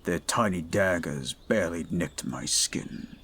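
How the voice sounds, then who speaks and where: A man voicing a towering male goliath bodyguard who is stoic, dutiful and protective, speaking in a formal, measured, commanding way in an audio roleplay. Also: sits low, lively intonation, vocal fry, fairly bright tone, slight background noise, heavily acted. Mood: dry, gentle.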